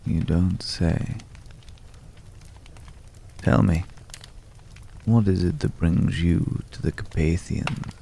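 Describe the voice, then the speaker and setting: A man performs a world-weary former monster hunter with a deep voice full of heavy gravel. He is suave and courteous, talking slowly and intimately as in an ASMR roleplay. A faint bed of ambient noise sits behind him. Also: full character voice. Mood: intrigued, wistful. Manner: measured, hushed, hesitant pauses, suavely polite.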